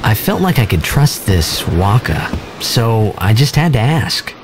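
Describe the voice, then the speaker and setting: Earnest boyish hero, animated pitch. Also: male voice, a little slow, background noise. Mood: reflective. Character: earnest, unsure teenage boy athlete, displaced hero. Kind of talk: video game dialogue and narration. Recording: music behind the voice.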